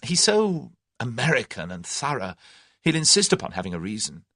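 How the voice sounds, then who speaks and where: A man's voice: mid-range voice, wildly swooping pitch, moderate pace, fairly bright tone, dry recording, studio quality, voice pushed up, heavily acted. A man voicing a plucky, proud young English woman in an audiobook, earnest and exclamatory. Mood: rueful. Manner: earnest, exclamatory, self-scolding. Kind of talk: audiobook character voice.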